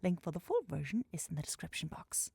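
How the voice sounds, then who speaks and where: A woman chatting casually and playfully as a channel host, softly and partly whispered in an ASMR style. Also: fairly low pitch, sing-song, fast, gravelly, husky, dry recording. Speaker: creator and host of audio roleplay channel. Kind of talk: casual, soft ASMR outro chat.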